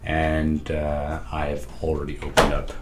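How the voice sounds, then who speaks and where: A man in his thirties with a lost, hoarse voice, chatting excitedly and rambling through a show-and-tell vlog from his home study. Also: extremely low pitch, unhurried, roomy sound, heavy background noise. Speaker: stationery vlogger. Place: home study with lamps and bookshelves.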